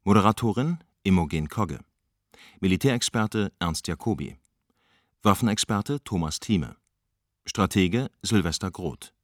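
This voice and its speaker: Deep-voiced man, dry announcement. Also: lively intonation, a little slow, no echo. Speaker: credits announcer. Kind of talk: formal radio credits reading.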